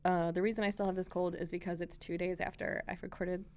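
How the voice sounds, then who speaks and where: A woman hosting a casual chat podcast from a library room, a bit hoarse from a cold, bubbly and quick to giggle. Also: fairly low pitch, level tone, a little mellow. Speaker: podcast host.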